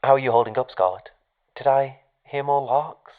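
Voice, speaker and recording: An actor playing an anxious, guilt-ridden male scientist in an audio drama, halting and subdued, heard through a radio filter. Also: mid-range voice, smooth. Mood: concerned. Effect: radio or intercom filter. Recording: tinny phone-like radio link.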